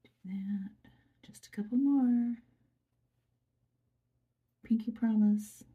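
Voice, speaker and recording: A woman host chatting softly to viewers while doing a craft project, her nose stuffy, recorded on a phone at her workplace. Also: a little high, slow pace. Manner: soft, chatty, murmuring to viewers. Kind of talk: casual chat while crafting. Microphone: phone camera.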